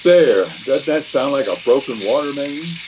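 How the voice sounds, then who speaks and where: An actor playing a blustery, pompous, scheming middle-aged water commissioner, hammy and exclamatory, in an old-time radio comedy. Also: male voice, mid-range voice, lively intonation, moderate pace, background noise, close to an everyday voice. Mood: blustering.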